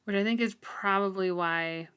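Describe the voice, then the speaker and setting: A woman's voice: mid-range voice, moderate pace, fairly bright tone, clear, resonant tone. A woman co-hosting a podcast, casually discussing a book in an informal, giggly way. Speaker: podcast co-host.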